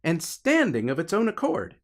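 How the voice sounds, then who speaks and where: A man's voice: on the high side, wildly swooping pitch, normal speed, voice pushed up. A man voicing a panicky, incredulous man in an audiobook, exasperated and questioning. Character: Jonah, panicky, incredulous young man arguing with the devil.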